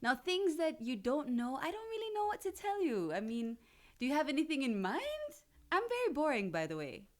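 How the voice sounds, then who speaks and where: A woman from Jamaica, a radio presenter, chatting as a guest in a studio interview, playful and quick to laugh. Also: high-pitched, huge pitch swings.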